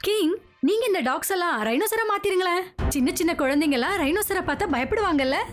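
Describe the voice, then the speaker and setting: A woman playing a sly, excitable villain queen in a cartoon. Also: very high voice, fast talker, faint music behind. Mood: sly, eager. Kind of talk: animated cartoon dubbing.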